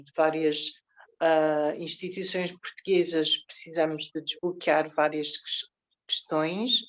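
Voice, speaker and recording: A woman moderating an online panel, asking long questions with many fillers and restarts. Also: lowish voice. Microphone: video call. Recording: dull lo-fi video call audio.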